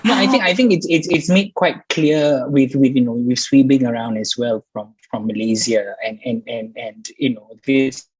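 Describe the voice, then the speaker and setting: A Singaporean-accented man takes part in an informal online panel. His voice is a little husky and mid-pitched, and he talks haltingly, repeating phrases and adding small tags. The video-call sound is dry and slightly dull. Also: no echo. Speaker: doctoral researcher on a panel.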